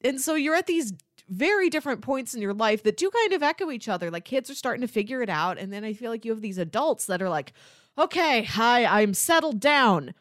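A young adult woman co-hosting a podcast, discussing film casually and reflectively in an expressive way. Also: fairly high pitch, brisk, no echo, studio quality. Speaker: podcast co-host.

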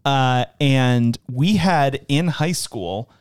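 A man's voice: mid-range voice, extremely slow, smooth, dry recording. A man co-hosting a movie podcast who explains things at length, full of hesitant fillers. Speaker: podcast co-host. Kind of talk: casual movie discussion.

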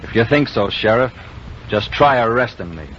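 A man's voice: medium pitch, lively intonation, normal speed, fairly bright tone, lightly acted. An American man acting a bold, unflinching Old West lawman in an old-time radio western, firm and taunting. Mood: firm, challenging. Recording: muffled, hissy vintage radio recording.

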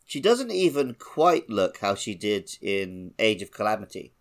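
A man's voice: lowish voice, big pitch swings, measured pace. A man co-hosting a podcast, talking casually and conversationally about a video game. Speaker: podcast co-host.

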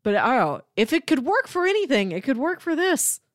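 A woman podcast co-host in a casual, enthusiastic chat about a TV series, quick to laugh. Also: high-pitched, sing-song, dry recording, studio quality.

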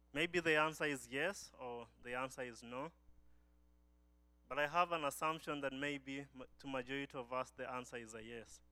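A man's voice: medium pitch, bright, forceful tone, clean tone, no echo. A young man from Uganda giving an earnest church testimony as a guest speaker, often restarting his phrases.

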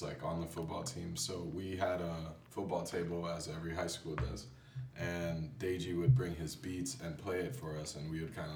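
A man chatting casually as a music producer guest, telling stories in a loose, halting way. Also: deep voice, a little mellow. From born in Haiti. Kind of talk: casual chat. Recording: roomy, distant mic with faint background noise.

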